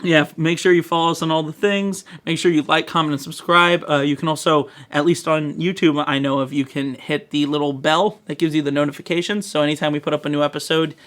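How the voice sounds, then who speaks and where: A man co-hosting a podcast, chatting casually and jokingly about films in an animated, profane, chuckling way. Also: fairly high pitch, fast, few pauses. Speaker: podcast co-host. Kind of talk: casual joking movie-review chat.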